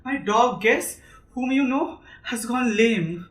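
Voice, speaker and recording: A woman's voice: a little high, animated, slow. A woman with an Indian accent playing a nervous, proud, hypochondriac male suitor who stammers and repeats words in an amateur audio drama. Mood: hesitant, rueful. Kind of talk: amateur student stage-play audio drama.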